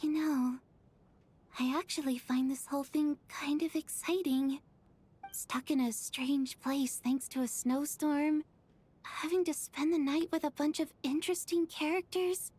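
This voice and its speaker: High-voiced, sweet, frail girl. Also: fairly even intonation, unhurried. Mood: dreamy excitement. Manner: gentle, eager, wistful. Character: sweet, frail, dreamy young sister. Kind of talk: video game character dialogue.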